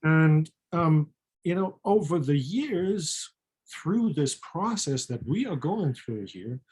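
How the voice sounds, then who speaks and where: A middle-aged speaker from Germany with a German accent and a husky voice, talking frankly and reflectively in a casual chat. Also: medium pitch, animated, slow pace, darkish tone. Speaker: physician and co-author, guest.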